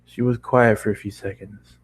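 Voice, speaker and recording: A man's voice: on the low side, fairly even intonation, normal speed, breathy edge. A tired man reading a story aloud as an amateur narrator, often stumbling and rereading phrases. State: tired, running out of steam. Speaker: amateur fan-fiction reader.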